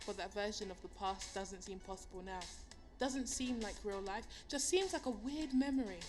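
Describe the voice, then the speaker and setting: A woman's voice: mid-range voice, moderate pace, over music, close to an everyday voice. An actress playing a blunt, wry, sweary young woman radio host in an audio drama. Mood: reflective. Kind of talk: audio drama banter between radio co-hosts.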